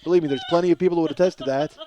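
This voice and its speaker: A man's voice: fairly high pitch, fairly even intonation, racing, mellow. A middle-aged man hosting a comedy podcast in a casual, rambling monologue, swearing freely and poking fun at himself. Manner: profane, self-deprecating, exclamatory.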